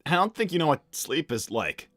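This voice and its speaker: Casting: male actor as young hedgehog hero, highish bright voice, wisecracking and casual, stumbling over words, cartoon livestream, dry close sound. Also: sing-song, moderate pace, no echo, faint music behind. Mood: coaxing. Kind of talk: improvised in-character livestream roleplay, reading out messages.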